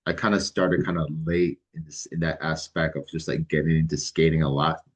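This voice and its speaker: Middle-aged man, extremely low voice, relaxed and hesitant, lots of ums. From Barbados. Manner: halting, many ums and restarts, relaxed. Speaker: guest, licensed mental health counselor. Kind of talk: casual interview answers.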